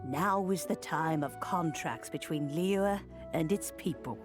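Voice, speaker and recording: An actress playing an elegant, commanding noblewoman who leads a city in a video game, speaking in a measured, gracious way. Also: deep voice. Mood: composed. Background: game music.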